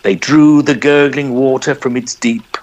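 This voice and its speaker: A man narrating a poem dramatically, in a measured and expressive storyteller's manner. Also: mid-range voice.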